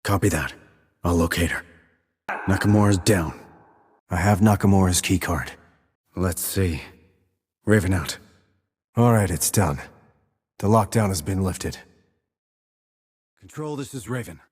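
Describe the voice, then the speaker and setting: A man playing a terse, calm military sniper in a video game, clipped and controlled, reporting in tactical radio calls. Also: unhurried, pauses often, dry recording, studio quality. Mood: controlled, urgent. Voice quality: low, husky. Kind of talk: tactical radio call acting.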